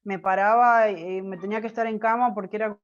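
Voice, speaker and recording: A woman from Argentina with an Argentine Spanish accent, telling a personal story as an interview guest, pausing often with fillers and restarts. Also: medium pitch, fairly even intonation, lo-fi sound. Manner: hesitant, many fillers and restarts. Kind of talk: personal testimony in conversation.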